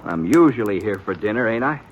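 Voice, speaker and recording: An actor playing a hot-tempered, domineering rancher in a radio western, with an American accent and blunt, folksy speech. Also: male voice, lowish voice, lively intonation, brisk, lightly acted. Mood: gruff, suspicious. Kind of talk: 1950s radio western acting. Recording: muffled, noisy old radio recording.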